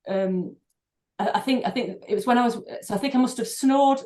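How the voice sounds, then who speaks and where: A middle-aged woman, a doctor and breathing therapist, speaking in a podcast interview, thoughtful and halting with many fillers and restarts. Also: on the high side, a little mellow. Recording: echoey room.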